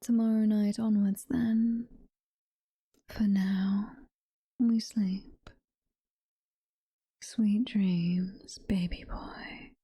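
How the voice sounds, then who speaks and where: A woman playing a doting, possessive demon mommy girlfriend in an ASMR roleplay, whispering softly and tenderly with a British accent. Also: medium pitch, fairly even intonation, no echo, clean studio sound, full character voice. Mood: sleepy, affectionate. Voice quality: breathy, soft-spoken whisper. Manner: slow, tender, coaxing. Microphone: close ASMR microphone.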